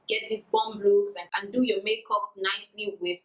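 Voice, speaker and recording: A woman's voice: mid-range voice, fairly even intonation, normal speed, fairly bright tone. Chatty sing-song woman in her twenties. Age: 20s. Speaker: beauty YouTuber. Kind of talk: casual Q&A vlog.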